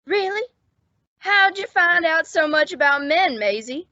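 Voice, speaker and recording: A woman's voice: extremely high pitch, lively intonation, measured pace, sharp tone, no echo, highs cut off, exaggerated voice. A woman playing an eager, earnest, slightly stammering young bellboy in an old-time radio comedy.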